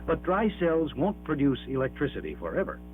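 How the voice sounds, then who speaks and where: A man reads a scripted educational film narration in a measured, emphatic, explanatory manner, on an old 1960s film soundtrack. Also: on the high side, very animated, soft background music. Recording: dull band-limited 1960s 16mm film soundtrack.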